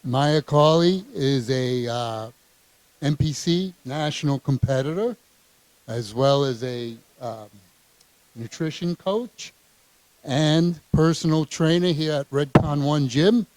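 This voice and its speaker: A man hosting an interview in a gym, asking questions with many fillers and quick agreements. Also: a little high, darkish tone, dry recording, low-quality recording. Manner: hesitant, uses many fillers and short agreements. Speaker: host, interviewer. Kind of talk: casual interview.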